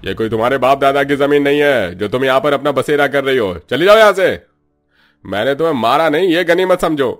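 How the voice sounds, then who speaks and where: A man plays a village constable in a cartoon. He is gruff, bullying and indignant, hectoring loudly in a middle-pitched male voice. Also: lively intonation, brisk, soft background music, natural voice. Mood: threatening.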